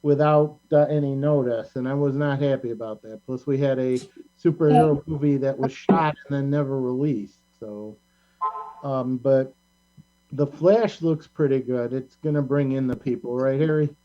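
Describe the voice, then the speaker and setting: A man's voice: medium pitch, moderate pace. A man hosting a casual group chat. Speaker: host. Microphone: video call. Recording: muffled online call with hum.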